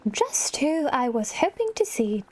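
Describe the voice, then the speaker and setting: A manipulative game villainess, read aloud by a woman who coaxes and condescends to her young protégée. Her voice sits fairly high, and she takes her time over every theatrical phrase. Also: very animated, a little slow, a little mellow, rough recording. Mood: sly coaxing.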